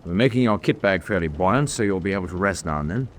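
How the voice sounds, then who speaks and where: A low, matter-of-fact officer's voice over faint background noise. He is methodical and instructive, a calm planner in a vintage-style war radio drama. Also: fast talker. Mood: brisk, instructive. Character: methodical, calm POW officer briefing an escaper. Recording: noisy track with background ambience.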